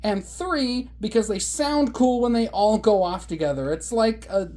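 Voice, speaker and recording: A man with a high voice, casually showing his hobby collection in a sarcastic, exasperated, exaggerating rant. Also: a little slow, a little mellow. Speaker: hobbyist video host. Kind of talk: casual show-and-tell rant.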